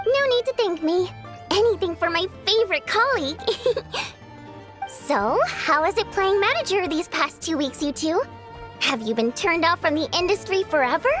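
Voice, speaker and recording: Bubbly young female performer, very high, swooping intonation, teasing, pushy, theatrical, video game. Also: expressive, moderate pace, a bit bright, faint music behind. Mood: playful, teasing. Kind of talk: video game cutscene acting.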